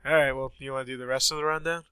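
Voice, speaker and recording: A man's voice: mid-range voice, fairly even intonation, rapid-fire, sharp tone, clean tone, dry recording, clean studio sound. A man co-hosting a gaming podcast, reading news in a chatty way with many fillers, sounding stuffy from a sinus infection. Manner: chatty, many ums and uhs. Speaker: podcast co-host. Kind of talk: casual chat, reading news.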